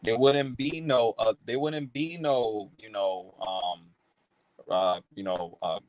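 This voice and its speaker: A man's voice: fairly low pitch, steady tone, takes it slowly, a little mellow, no room sound, low-quality recording. A man born in Haiti, a filmmaker guest speaking passionately over a call, with many fillers and restarts. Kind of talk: casual interview over a call.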